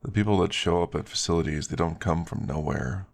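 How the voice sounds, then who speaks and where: A man's voice: low-pitched, a little fast, gravelly. A man voicing an earnest male werewolf in an ASMR story. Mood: thoughtful, earnest. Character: earnest male werewolf explaining his resort's work. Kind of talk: ASMR story character dialogue.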